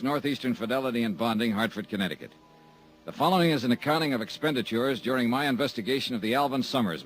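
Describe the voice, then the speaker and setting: An actor playing a wry, hard-boiled insurance investigator who narrates and trades dry, sardonic quips in a 1950s radio drama. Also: male voice, mid-range voice, low-quality recording. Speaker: narrator and lead.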